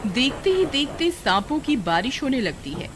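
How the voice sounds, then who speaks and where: Female narrator, scripted storytelling, animated intonation, loud background noise. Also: high-pitched, moderate pace. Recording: very noisy background.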